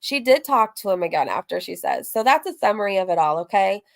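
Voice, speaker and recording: A woman's voice: medium pitch, big pitch swings, brisk, vocal fry. A woman streamer chatting casually through a recap, reading messages aloud, candid and rambling, breaking off into asides and dropping casual swear words. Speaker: streamer and host of a reaction livestream.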